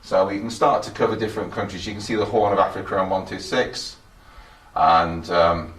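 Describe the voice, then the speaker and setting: Male tour guide, mature, low pitch, plain explanatory delivery, echoey room with light noise. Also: fairly even intonation, moderate pace, a bit bright. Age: middle-aged or older. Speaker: station engineer giving a guided tour. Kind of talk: informal technical tour narration. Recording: roomy echo with faint background noise.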